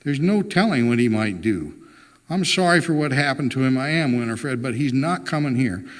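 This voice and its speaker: A man's voice: mid-range voice, expressive, normal speed, in a large hall, close to an everyday voice. A man voicing a reluctant, plainspoken husband in a storybook reading, stubborn, wry and resigned.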